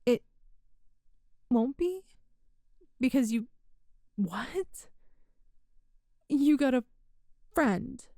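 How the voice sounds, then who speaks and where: High, mellow, flustered time-traveler played by a woman. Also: lively intonation, drawn-out words, pauses often, no echo, clean studio sound, heavily acted. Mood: earnest, disbelieving. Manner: hesitant, earnest, self-deprecating. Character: flustered, apologetic, grateful young female time traveler from future. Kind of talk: ASMR roleplay, one-sided conversation with the listener.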